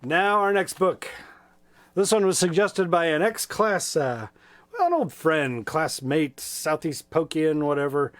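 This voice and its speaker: A man reading children's stories aloud in a playful, folksy, expressive way, with casual asides. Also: fairly high pitch, measured pace. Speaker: storytime reader.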